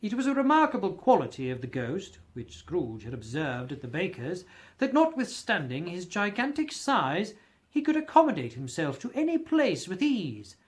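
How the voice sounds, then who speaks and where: A man narrating a classic story from a script in a theatrical, expressive storytelling manner. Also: high voice, normal speed. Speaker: audiobook narrator.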